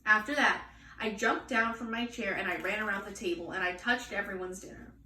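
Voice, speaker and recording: Female children's story reader: expressive, slightly high, distant and echoey, faint noise. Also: a little fast. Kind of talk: expressive storybook read-aloud.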